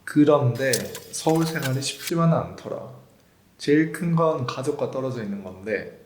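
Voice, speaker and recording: A man's voice: medium pitch, normal speed, noisy background. A young man in his twenties from the south of Korea, hosting and narrating his own story in a chatty, informal way. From South Gyeongsang, Korea. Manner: chatty, informal, animated intonation. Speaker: host and narrator. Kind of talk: casual first-person vlog narration. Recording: echoey with music behind.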